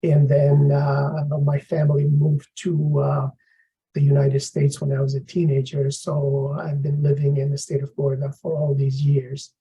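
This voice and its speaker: A middle-aged man from Afghanistan, a professor introducing his course in a measured, explanatory lecturing manner. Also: a little high, monotone, warm tone, dull audio.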